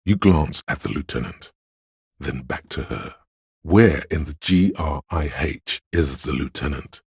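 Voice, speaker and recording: An all-knowing inner voice of police instinct in a literary video game. It is extremely low and very husky, speaking with grave deliberation and dry irony. The sound is close and dry, with no room around it. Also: male voice, dry recording, full character voice. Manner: measured, deliberate, dryly ironic. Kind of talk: second-person literary game narration.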